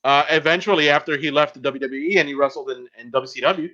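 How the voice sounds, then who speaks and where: A man co-hosting a podcast in casual group chat, speaking haltingly as he thinks aloud. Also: fairly high pitch, pushed and bright. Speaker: podcast co-host. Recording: dull, lo-fi voice chat audio.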